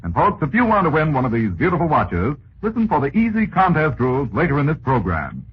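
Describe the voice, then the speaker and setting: A man's voice: fairly high pitch, huge pitch swings, brisk. An American man working as a 1940s radio announcer, reading sponsor copy with polished, folksy salesmanship. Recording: muffled, hissy 1940s radio recording.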